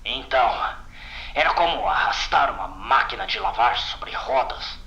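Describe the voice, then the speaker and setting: A shaken field agent acted by a man recounts horror with bitter, haunted intensity. His Brazilian-accented voice is very tense and bright, set in an echoing space like a horror audio drama. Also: mid-range voice, expressive, measured pace, lo-fi sound. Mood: agitated, weary. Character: shaken, bitter male containment agent recounting a horrifying mission.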